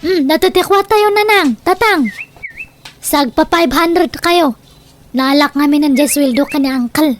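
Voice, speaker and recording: A high-voiced, cheeky young daughter played by a woman with an Ilocano accent, carefree and flippant, whining through her excuses. It is comedy radio drama, with clear noise in the recording. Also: a little slow. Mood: dreamy, scheming.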